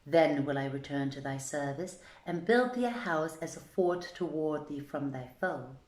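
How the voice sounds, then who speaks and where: A woman voicing a wily male carpenter with measured, formal, archaic speech in a folk tale reading. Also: sits low, animated, a little mellow, pitched below the performer's own voice. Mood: deferential. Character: wily male carpenter, outwardly humble, secretly vengeful. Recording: echoey, roomy sound.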